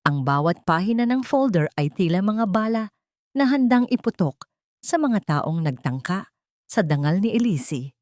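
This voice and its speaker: A woman's voice: medium pitch, very animated, a little slow, darkish tone, no room sound. Woman narrating a dramatic story, dry close sound.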